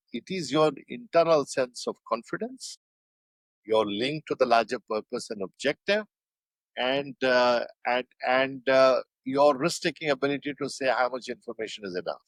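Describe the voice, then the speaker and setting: An older man with an Indian English accent, giving measured, thoughtful interview answers with frequent fillers. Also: medium pitch, lively intonation, no echo, low-quality recording. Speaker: guest.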